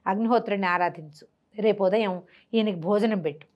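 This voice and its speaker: An elderly Brahmin mystic from an oral folktale, played by a woman storyteller. He speaks quietly and secretively, probing gently and then giving instructions like a guide. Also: medium pitch, a little fast, lo-fi sound, lightly acted. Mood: confiding, instructing.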